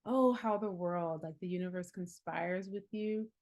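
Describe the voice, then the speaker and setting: Woman in her forties, herbalist coach; somewhat low voice, earnest, encouraging, laughs easily, loose casual delivery. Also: a little slow, a little mellow. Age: 40s. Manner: earnest and encouraging, laughs often, many "like" fillers. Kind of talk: casual reflective talk.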